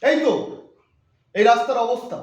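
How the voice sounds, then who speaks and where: Man acting very high-voiced fiery politician, echoing. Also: normal speed. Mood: grave, accusing. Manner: declamatory, oratorical, emphatic phrase-by-phrase delivery. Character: fiery opposition politician rallying wronged voters, righteous and indignant. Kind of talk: theatrical political speech monologue. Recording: very echoey, reverberant room sound.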